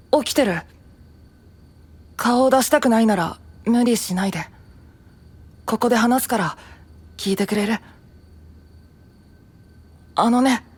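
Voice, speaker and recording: An actor playing a gentle, caring young male knight, soft and earnest, in a fantasy voice drama. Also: extremely high pitch, slightly rough, heavily acted. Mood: earnest, pleading.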